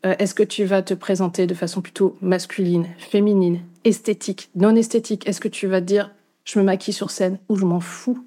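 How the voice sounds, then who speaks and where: A woman's voice: mid-range voice, flat delivery, brisk, dark tone. A woman musician being interviewed, speaking thoughtfully and hesitantly with many fillers. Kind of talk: casual interview talk.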